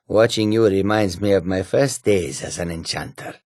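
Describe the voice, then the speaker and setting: A male actor playing a courteous, sly humanoid cat trader in a fantasy video game, with a gravelly, husky voice and measured, formal speech. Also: low voice, darkish tone, no room sound, studio quality. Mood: thoughtful. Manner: measured, formal, refers to himself in third person.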